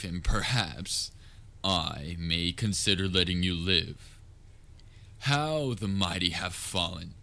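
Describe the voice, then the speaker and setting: Man acting a deep-voiced, pompous supervillain. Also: sharp tone, full character voice. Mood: gloating, grandiose. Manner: pompous, gloating, dryly sarcastic. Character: theatrical male supervillain, pompous yet secretly concerned nemesis. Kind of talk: scripted audio roleplay, comedic villain monologue.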